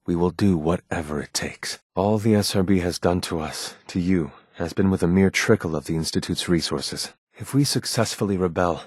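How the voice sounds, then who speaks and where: Deep, husky android rebel leader. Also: male voice, a little mellow, dry recording, clean studio sound. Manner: formal, measured, grave. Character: guarded, earnest male android rebel leader. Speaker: video game voice actor. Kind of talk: scripted game character dialogue.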